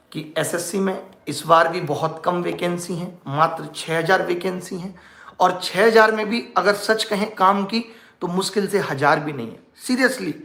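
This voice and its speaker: A man's voice: high-pitched, vocal fry, a bit bright. A man in his thirties, a coaching teacher talking informally to students in a sarcastic, exasperated way, full of rhetorical questions. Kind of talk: informal talk to students with a satirical mock phone call. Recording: roomy echo with music underneath.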